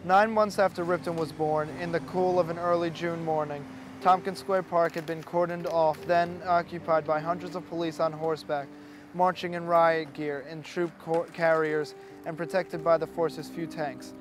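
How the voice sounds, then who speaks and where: A man's voice: on the high side, flat delivery, moderate pace, background noise. A male reader giving scripted narration of a written memoir. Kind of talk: scripted narration, audiobook reading. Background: clear music.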